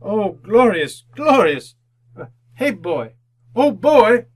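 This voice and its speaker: An actor playing an old repentant miser in a radio drama, theatrical and fervent. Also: male voice, high voice, very animated, slow, breathy edge, full character voice. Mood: joyful wonder. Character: old repentant miser, fearful then overjoyed.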